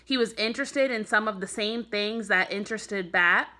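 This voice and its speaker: A woman teacher narrating a children's book aloud, telling the story clearly for young listeners. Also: medium pitch, normal speed, pushed and bright. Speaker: elementary school teacher.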